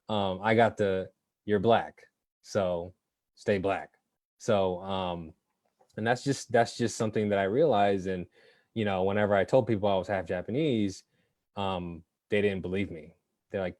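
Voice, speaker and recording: A man raised in the United States, a professional athlete speaking on a panel in a thoughtful, halting way with many ums. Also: sits low, darkish tone, no room sound, clean studio sound. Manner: thoughtful, frequent ums, restarts. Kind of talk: panel discussion.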